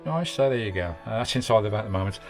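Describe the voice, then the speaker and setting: A man's voice: fairly low pitch, lively intonation, rapid-fire, faint music behind. A man with a British accent giving a casual, matey, hands-on vlog commentary from inside a campervan. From United Kingdom. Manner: chatty, matey, peppered with British slang. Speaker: vanlife vlogger. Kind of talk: casual hands-on video tour and fitting commentary.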